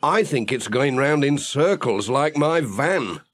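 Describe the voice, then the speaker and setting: A man plays a friendly village postman in a children's story. His voice is husky and lively, with a homely, reassuring, good-humoured manner. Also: mid-range voice, takes it slowly. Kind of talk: children's audiobook character reading.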